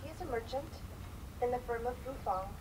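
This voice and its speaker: A woman's voice: fairly high pitch, lively intonation, normal speed, natural voice. A woman playing a guarded immigrant wife answering an official's questions formally and carefully in a dramatic reading. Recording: distant microphone in noisy live room.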